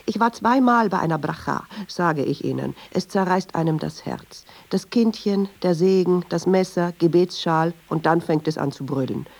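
A woman's voice: on the low side, normal speed, creaky, hardly any pauses, a little mellow. An actress playing a candid, uneasy middle-aged woman in a radio drama, speaking reflectively in short, observant clauses. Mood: troubled, candid. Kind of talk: radio drama dialogue and inner monologue.

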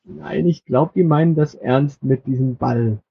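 A man co-hosting a casual podcast, talking in a wry, halting way with many fillers, and acting as game master for a short role-play game. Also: medium pitch, creaky, slightly rough, muffled recording.

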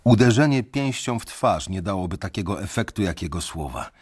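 A young man narrating a radio drama in the first person, measured and brooding. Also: on the low side, expressive, heavy background noise. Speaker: narrator and main character.

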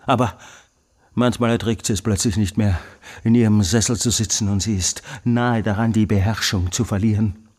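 A man's voice: fairly low pitch, steady tone, a little mellow, natural voice. An actor playing an obsessive, tyrannical man fanatically studying hearing in a radio monologue, with intense, emphatic delivery. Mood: controlled, stern. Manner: intense, emphatic, drawn-out delivery of key words. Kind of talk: dramatic literary radio monologue.

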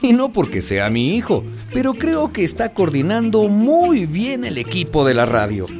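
A proud widowed father telling his town's story in a radio drama. His voice sits a little high for a man and moves expressively, with music underneath. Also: huge pitch swings, moderate pace, full character voice. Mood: proud, fond.

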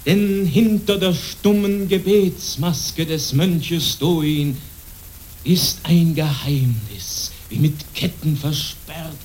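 A man narrating a radio play as a solemn, measured storyteller. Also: on the high side, a little mellow, a little hiss or hum. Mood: grave, intense. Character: solemn male storyteller narrating a monk's legend.

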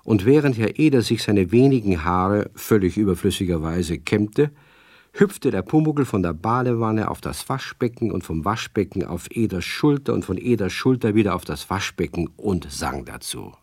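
A male narrator tells a children's story in a fairly low voice. His scripted delivery is measured yet varied in melody, recorded close and dry. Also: animated, darkish tone, husky, no echo.

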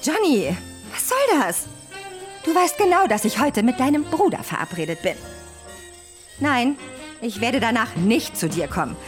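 A woman's voice: fairly high pitch, sing-song, a little slow, long pauses, faint music behind. An actress playing an exasperated, foul-mouthed blonde female film star with a lonely streak in a radio drama. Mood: exasperated, irritated. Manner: volatile, swearing.

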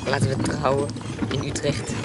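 A woman's voice: rumbling low, completely flat delivery, normal speed, very noisy. An actress playing a shrewd, bossy female swindler who leads a gang in a radio drama. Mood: composed, scheming. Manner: curt, bossy.

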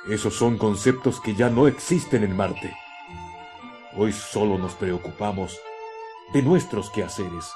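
A man acts out a bitter Martian man in a science-fiction radio play, contemptuous and hard. His low-pitched voice rises and falls sharply in a brooding, theatrical monologue. Loud music plays under him. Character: bitter, ruthless Martian man, scornful of peace and love. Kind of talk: radio drama acting.

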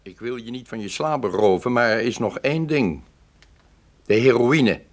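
An actor playing a stern, suspicious, businesslike investigator in a radio play, on a noisy old recording. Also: male voice, mid-range voice, animated, moderate pace, natural voice.

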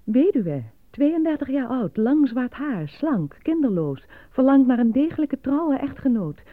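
Formele omroepster, smalle telefoonklank. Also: female voice, high voice, very animated. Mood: measured announcing. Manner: deliberate, formal announcing. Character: measured female announcer reading slogans and lonely-hearts ads. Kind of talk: scripted announcement in a radio play. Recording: dry, narrow phone-like old recording.

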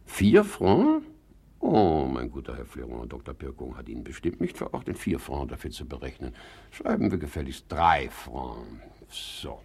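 A creaky, fussy old-style miserly hypochondriac. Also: male voice, a bass voice, wildly swooping pitch, normal speed, a little mellow, heavily acted. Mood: fussy muttering. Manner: theatrical muttering, wailing and scolding. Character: fussy, miserly male hypochondriac, querulous and self-pitying. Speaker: actor. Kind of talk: German radio play comedy acting.

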